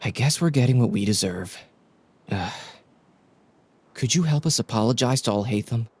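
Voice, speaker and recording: A man's voice: medium pitch, lively intonation, moderate pace, a little mellow, husky. An actor voicing an apologetic, resigned man in a video game.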